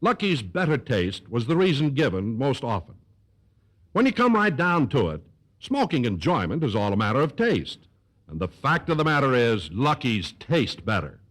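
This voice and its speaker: An American man with a husky voice announcing and reading commercials in 1950s radio style. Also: mid-range voice, sing-song, moderate pace, fairly bright tone.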